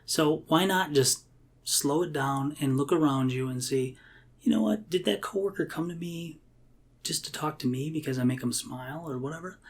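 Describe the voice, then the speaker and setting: A man's voice: fairly high pitch, normal speed. A man chatting casually and reflectively in a podcast conversation. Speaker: IT worker.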